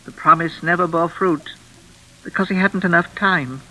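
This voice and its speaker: Bright, sharp-voiced elderly lady. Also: lowish voice, normal speed. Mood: wistful, grieving. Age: older. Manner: theatrical, formal, intense. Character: elderly, genteel, grieving, ominous widowed lady of the house. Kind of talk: 1940s radio acting. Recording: vintage radio recording with frying crackle.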